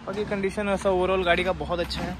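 High-voiced car dealer. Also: male voice, little pitch movement. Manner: brisk listing of features and prices. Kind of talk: car walkaround and sales pitch. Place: outdoor car lot, then inside a car on the road. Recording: outdoor noise and traffic.

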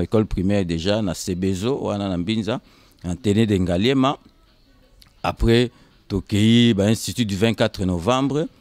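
A man in his fifties from Kinshasa with a Congolese accent, answering casually in short, halting, repeated phrases. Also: fairly low pitch, darkish tone, dry recording. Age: 50s. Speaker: interview guest. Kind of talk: casual interview storytelling, switching between Lingala and French. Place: indoors on a sofa. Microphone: handheld microphone held by interviewer.

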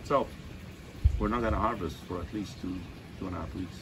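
Low-voiced Lebanese-born man in his sixties. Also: fairly quick. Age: 60s. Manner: plain-spoken, self-mocking, rambling with asides. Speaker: winemaker hosting a tasting. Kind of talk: casual talk to visitors. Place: outdoor patio of a hillside winery. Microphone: phone camera a few meters away. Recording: open-air phone recording with background noise.

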